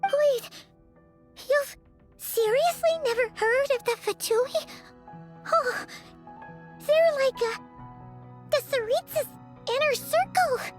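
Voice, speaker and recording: Squeaky childlike fairy girl. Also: full character voice. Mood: surprised, explaining. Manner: speaks of herself in third person. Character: small childlike female fairy companion, chirpy, chatty, third-person. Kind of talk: video game character dialogue.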